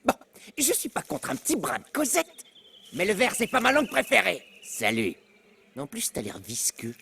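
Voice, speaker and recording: High, vain snobbish apple. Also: male voice, huge pitch swings, normal speed, creaky, bright, forceful tone, husky, full character voice. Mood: haughty, irritated. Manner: pompous, disdainful, easily flustered. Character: vain, snobbish young male apple, cowardly and scornful. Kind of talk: animated film dub acting.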